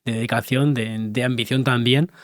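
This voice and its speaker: Man, podcast host, mid pitch, dry close sound, short friendly questions. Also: steady tone, moderate pace, dry recording. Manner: brief affirmations, friendly questions. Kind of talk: interview questions and sign-off.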